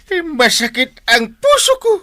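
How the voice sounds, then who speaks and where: A man's voice: very high-pitched, sing-song, measured pace, bright, forceful tone, full character voice. A man acting as a lovesick middle-aged village captain in a comedy drama, whining and stammering when flustered. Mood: tearful, pained.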